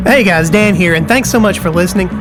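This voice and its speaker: High-voiced man with informal enthusiasm. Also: quick pace, over music. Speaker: voice actor thanking listeners. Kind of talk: scripted podcast outro.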